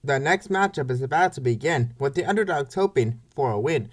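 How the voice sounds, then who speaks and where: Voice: medium pitch, lively intonation, fairly quick. A baseball play-by-play announcer reading scripted commentary for a comic dub in short, clipped phrases.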